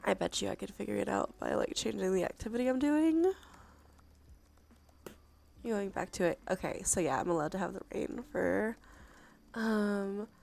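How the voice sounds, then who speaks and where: A soft-spoken woman chatting on a cozy ASMR livestream, with a creaky voice that often slips into a breathy whisper. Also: mid-range voice, lively intonation, normal speed, pauses often. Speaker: streamer.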